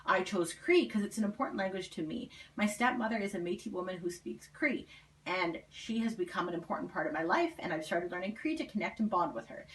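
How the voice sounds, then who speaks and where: A woman's voice: medium pitch, husky, rough recording. A young woman giving a prepared, personal presentation as a student.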